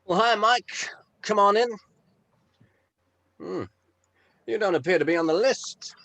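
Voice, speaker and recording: A man playing a mild, diplomatic male band member at a table read, polite and measured. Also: high voice, vocal fry, sharp tone, no room sound, exaggerated voice. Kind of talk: informal script table read.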